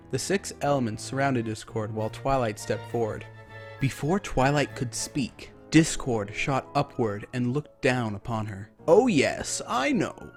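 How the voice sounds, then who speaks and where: A man narrating a story in a dramatic reading style. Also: medium pitch. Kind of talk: dramatic reading of fan fiction. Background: music.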